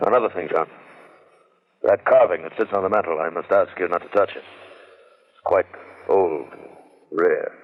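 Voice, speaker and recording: An actor playing an ailing, secretive estate owner in an old-time radio drama, grave, halting and commanding. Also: male voice, low-pitched, phone-quality sound. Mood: stern, tense.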